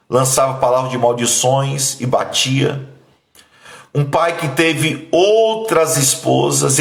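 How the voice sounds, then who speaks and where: A man's voice: gravelly, echoey room. A man in his thirties from Bahia, Brazil, preaching a sermon and sharing his testimony in an emphatic, pleading way. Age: 30s. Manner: emphatic, pleading, voice dropping low and soft when emotional. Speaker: pastor.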